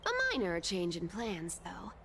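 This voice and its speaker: A woman's voice: lowish voice, a little slow, noisy background. A woman voicing a greedy, mischievous demigod trickster in a video game, teasing and theatrical, slipping between airy murmurs and exclamations. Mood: sly, playful. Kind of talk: animated video game voice acting. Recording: game audio with clear background music.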